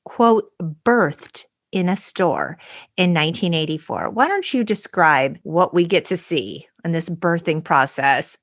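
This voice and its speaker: A woman co-hosting a podcast in casual comedic chat, with animated and wry banter. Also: fairly low pitch, measured pace. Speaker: podcast co-host.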